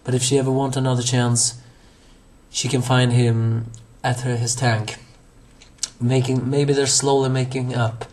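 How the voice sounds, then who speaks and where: A hoarse young man reviewing an anime episode on his own, rambling with many fillers, restarts and self-corrections. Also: mid-range voice, little pitch movement, unhurried, darkish tone.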